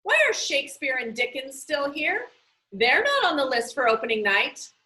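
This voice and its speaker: A woman's voice: sits high, very animated, normal speed, pushed and bright, roomy sound, heavily acted. A woman reading aloud as an exacting, commanding woman librarian who over-enunciates in a businesslike way. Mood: stern, commanding.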